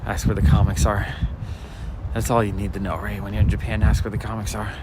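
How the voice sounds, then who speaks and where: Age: adult. Sex: man. Quality: raspy. Style: soft-spoken walking vlog. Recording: street traffic noise. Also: lowish voice, level tone, quick pace. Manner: casual, chatty, talks to viewers while walking. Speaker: walking vlogger and livestreamer. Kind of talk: soft-spoken rambling walk-and-talk commentary. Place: city street outdoors at night. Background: city street ambience and vehicles.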